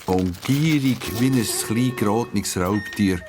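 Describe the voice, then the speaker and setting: Deep-voiced Swiss German male storyteller. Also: measured pace. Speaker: narrator. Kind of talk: scripted storytelling for a children's radio play. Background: music.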